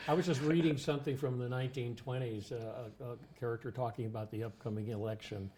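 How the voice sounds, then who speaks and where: An older American man hosting a casual interview, relaxed and often murmuring agreement. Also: medium pitch, fast talker, slightly rough. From United States. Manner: relaxed, with frequent affirming murmurs. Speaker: talk show host.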